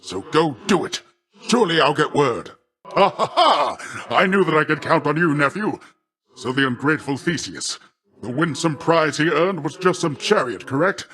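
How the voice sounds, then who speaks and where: A man's voice: fairly high pitch, expressive, normal speed, a bit bright. A man voicing a boisterous, boastful sea god in a video game, a jovial, doting uncle who speaks in hearty, grandiloquent, old-fashioned phrasing. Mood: scornful, confident, then hearty, pleased. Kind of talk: theatrical video game character voice acting.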